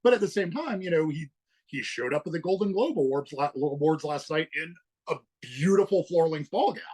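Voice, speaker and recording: A man in a casual podcast chat, explaining in a measured, thoughtful way. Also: fairly high pitch, animated, darkish tone, slightly rough. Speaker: podcast co-host or guest.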